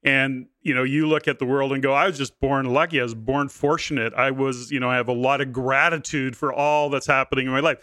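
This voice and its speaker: Bright-voiced man hosting a podcast. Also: mid-range voice, fairly even intonation, brisk, hardly any pauses, no room sound. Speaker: podcast host. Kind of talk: conversational interview.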